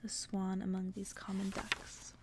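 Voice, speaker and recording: A woman reading a story aloud in a soft, breathy, unhurried ASMR whisper, as if to send the listener to sleep. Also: mid-range voice, monotone, a little hiss or hum.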